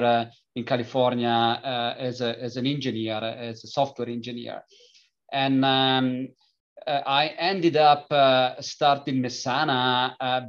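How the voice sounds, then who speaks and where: A middle-aged man from Italy with an Italian accent, a company founder speaking as an interview guest, pausing often with filler sounds and restarts. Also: medium pitch, dull audio. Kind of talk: conversational interview.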